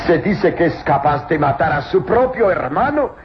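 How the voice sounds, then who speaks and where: An actor playing a cruel, arrogant villain in an old radio serial, mocking and gloating with theatrical exclamations. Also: male voice, fairly high pitch, very animated, normal speed. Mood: gloating menace. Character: sneering, cruel male villain, arrogant tomb-robbing master of slaves. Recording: muffled, noisy old radio drama recording.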